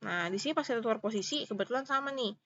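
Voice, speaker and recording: A woman's voice: on the high side, racing, a bit bright, highs cut off, slight background noise. A woman with an Indonesian accent giving an informal step-by-step tutorial as a lecturer, repeating points and checking understanding with short questions. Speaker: accounting lecturer.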